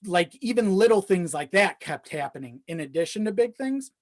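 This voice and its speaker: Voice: medium pitch, animated, normal speed, no room sound. Rambling storyteller, dry close sound. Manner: rambling, often chuckling while talking, swears casually. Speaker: podcast guest. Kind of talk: casual chat, personal storytelling.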